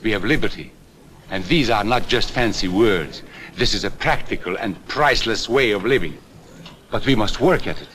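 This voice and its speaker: An actor playing an earnest American man who speaks deliberately and didactically in the manner of a 1940s public-information film. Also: lowish voice, fairly bright tone, a little hiss or hum, lightly acted. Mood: earnest, persuasive. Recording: old 1940s film soundtrack, faint music.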